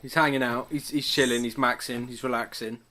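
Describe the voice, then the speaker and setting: A man's voice: medium pitch, fairly even intonation, a little fast, pushed and bright. Male co-host, mid-range voice, flippant and slangy, loose bantering chat. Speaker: podcast co-host. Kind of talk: casual joking chat. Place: online video call.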